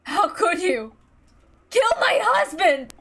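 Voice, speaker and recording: A woman performs a shrill, high-voiced married woman from a visual novel, horrified and full of accusation. Her delivery is impassioned and distraught, and music plays behind her. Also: sing-song, slow pace, fairly bright tone, full character voice. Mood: anguished, accusing. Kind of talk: dramatic visual novel character reading.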